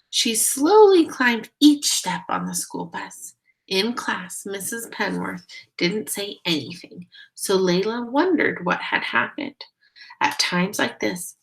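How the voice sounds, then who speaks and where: A woman's voice: medium pitch, vocal fry, poor audio. A woman reading a picture book aloud expressively, talking directly to young listeners. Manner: expressive, addressing children directly. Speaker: read-aloud storyteller.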